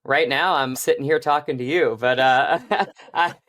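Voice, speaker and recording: American man chatting casually, medium-pitched voice. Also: normal speed, a bit bright. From Virginia, United States. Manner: conversational, often checks in with "right?" and "you know". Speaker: guest, actor. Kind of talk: casual interview chat.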